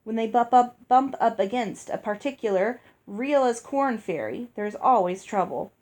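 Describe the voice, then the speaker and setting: A woman reading aloud and voicing a gruff older fairy woman who talks bluntly and scolds. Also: on the high side, animated, normal speed, darkish tone, natural voice. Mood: brusque. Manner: brusque, scolding. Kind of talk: read-aloud storybook dialogue.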